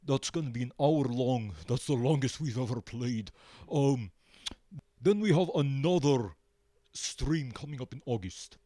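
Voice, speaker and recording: A man answering casually as a musician being interviewed, hesitant and pausing often. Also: mid-range voice, dark tone, dry recording, clean studio sound. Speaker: band member, interview guest.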